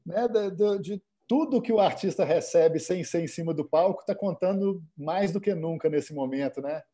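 Relaxed male moderator on a video call, mellow and slightly high voice. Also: moderate pace. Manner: relaxed, conversational, with filler tags. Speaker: panel moderator. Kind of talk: online panel moderation. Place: home room on a video call. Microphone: computer mic on a video call.

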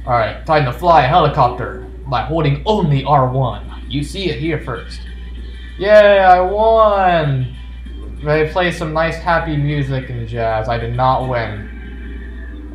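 Roomy-sounding man joking over game music. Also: mid-range voice. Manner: jokey, playfully sarcastic asides. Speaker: video game player and commentator. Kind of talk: casual gameplay commentary. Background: video game music.

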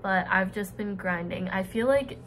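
A woman's voice: mid-range voice, moderate pace, slight background noise, rough recording. A young woman, a college student, chatting casually to her camera in an informal vlog style, sprinkling in "like" as she goes. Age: young adult. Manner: informal, giggly, frequent filler "like". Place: dorm room and outdoor paths. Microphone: phone or camera at arm's length.

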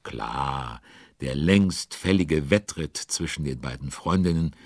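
A man's voice: expressive, takes it slowly, darkish tone, no echo, clean studio sound, exaggerated voice. A man narrating a children's audio drama as a chatty storyteller, playful and addressing listeners directly. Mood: playful storytelling. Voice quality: very deep, husky.

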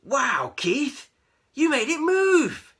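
Woman doing loud, squealing, excitable storybook cats. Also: high voice, very slow, voice pushed up, full character voice. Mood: amazed, delighted. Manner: squealing, chanting, exclamatory. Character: crowd of excitable, teasing, loud cartoonish cats. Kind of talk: animated storybook read-aloud.

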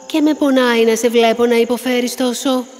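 A woman voicing a gentle, world-weary mare, a loving friend who speaks tenderly and sorrowfully in a cartoon. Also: a little high, steady tone, lightly acted. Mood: tender, mournful. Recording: long cavernous reverb, faint music.

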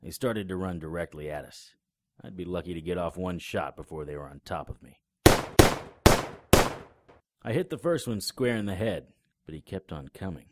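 An actor in an audio drama playing a cynical, sardonic, hard-boiled private investigator with a terse delivery. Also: male voice, low voice, animated, normal speed, pauses often, a little mellow, a little hiss or hum. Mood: grim narration. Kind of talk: audio drama acting with noir narration.

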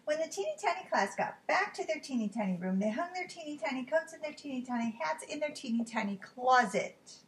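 High-voiced middle-aged woman reading storytime. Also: expressive, brisk, few pauses, a little hiss or hum. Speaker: teacher reading a storytime book. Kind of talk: read-aloud children's storytime. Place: home living room.

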